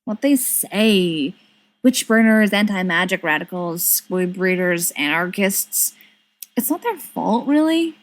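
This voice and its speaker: A woman's voice: on the low side, animated, takes it slowly. A woman voicing a young male wizard extremist in an audiobook, earnest and persuasive with a bitter edge. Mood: thoughtful, persuading.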